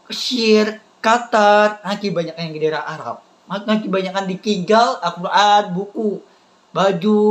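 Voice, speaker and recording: A man, talking casually to viewers in a halting, repetitive way that keeps circling back to the same phrases. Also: high-pitched. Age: 30s. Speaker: vlogger. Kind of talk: casual rambling talk to viewers.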